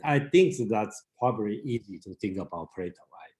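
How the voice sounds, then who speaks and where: Discussion leader, halting delivery, roomy echo on the voice. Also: male voice, on the low side, expressive, a little mellow. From China. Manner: halting, searching for words. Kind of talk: leading an online reading discussion.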